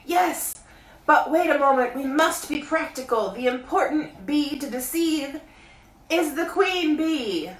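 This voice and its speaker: A woman voicing a gentle, simple-minded male teddy bear in a storybook reading, speaking in a slow, earnest loud whisper. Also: high-pitched, pitched above the performer's own voice. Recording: roomy, distant mic.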